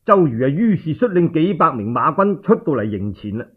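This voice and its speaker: Male Cantonese-accented storyteller, theatrical and emphatic, slightly thin lo-fi radio sound, husky voice. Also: a little high, big pitch swings, brisk. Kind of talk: dramatic traditional oral storytelling, radio narration.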